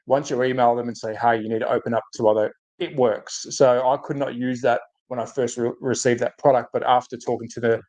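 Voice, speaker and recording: An Australian man with a slightly croaky, under-the-weather voice, hosting a casual livestream and thinking aloud as he chats to viewers. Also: medium pitch, fairly even intonation, brisk, few pauses, a little mellow. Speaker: host of a hobby livestream. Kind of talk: casual live chat to viewers.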